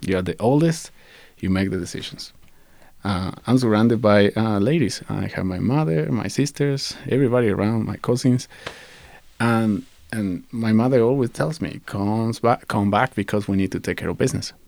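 A man from Ecuador, chatting casually, halting with many fillers and restarts and laughing often. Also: on the low side, vocal fry, a little mellow, no room sound. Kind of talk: casual chat.

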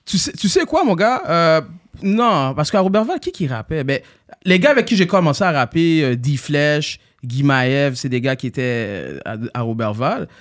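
A man in his thirties, born in Haiti, talks with a relaxed Quebec French accent. He wanders through stories in a casual, easygoing way. His English fillers and slightly raised pitch make him sound friendly and loose. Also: normal speed. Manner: laid-back, peppers speech with "you know" and "mon gars". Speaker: rapper guest. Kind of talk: casual podcast chat.

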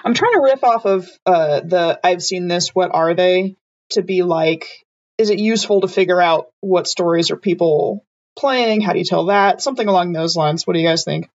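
A woman playing a tabletop game, chatting casually and thinking aloud in halting questions. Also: on the low side, a little mellow, no room sound, muffled recording. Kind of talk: casual improvised game chat.

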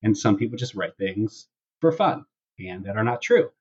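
A man's voice: lowish voice, lively intonation, moderate pace, a little mellow, breathy edge. A man hosting a casual comedy podcast with playful, exaggerated banter. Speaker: podcast host. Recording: dull, band-limited audio.

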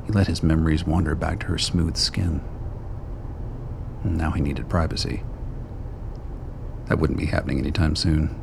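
A man narrating a scripted radio drama in a measured, wry storytelling manner. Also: very deep voice, steady tone, vocal fry, noisy background.

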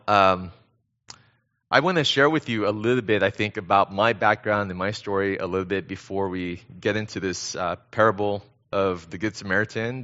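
Casting: middle-aged American man, guest preacher, earnest and halting, lowish pitch with a forceful, bright edge. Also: muffled recording. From born in Korea, grew up in Southern California. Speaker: guest pastor. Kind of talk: conversational sermon.

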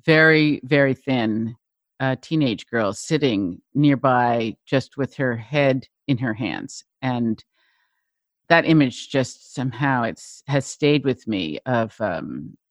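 Middle-aged woman host, thoughtful, halting, close dry mic. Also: rumbling low, no echo. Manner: reflective, hesitant, searching for words. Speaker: podcast host. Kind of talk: interview.

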